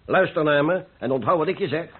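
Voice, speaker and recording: Exuberant older painter, high-pitched and husky. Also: male voice, quick pace. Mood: urging, blithe. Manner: exuberant, persuasive, rhetorical. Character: cheerful, carefree, philosophizing older male painter. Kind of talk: Dutch radio play acting. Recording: muffled old radio play recording.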